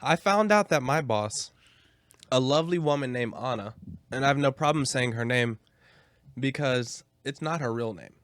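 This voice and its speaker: A man's voice: medium pitch, animated, moderate pace, a bit bright, no echo, studio quality. A young man chatting casually as a podcast co-host, telling stories in a slangy, joking way. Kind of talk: casual comedic podcast chat.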